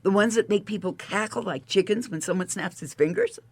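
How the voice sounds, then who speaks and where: An actress playing a detective's chiding, warm-hearted female assistant in a radio drama, expressive and exclamatory. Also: mid-range voice, a little fast, breathy edge, no echo, natural voice. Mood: curious.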